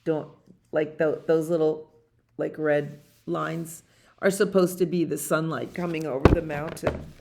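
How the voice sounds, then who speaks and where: A woman in her sixties doing an expressive children's storybook read-aloud, with dramatic pauses and emphasis. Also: low voice, vocal fry, a little hiss or hum. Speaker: library storytime reader. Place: small library community room, indoors.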